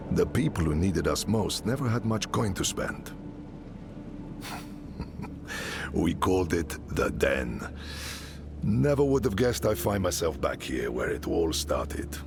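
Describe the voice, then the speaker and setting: A seasoned mercenary captain turned smith, speaking with a very deep, gravelly voice that swings widely in pitch. He is wry and fatherly, with a clear game soundtrack playing behind him. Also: big pitch swings, slow pace, long pauses, full character voice. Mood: friendly. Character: seasoned middle-aged male mercenary leader and smith, wry. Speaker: game character. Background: game music.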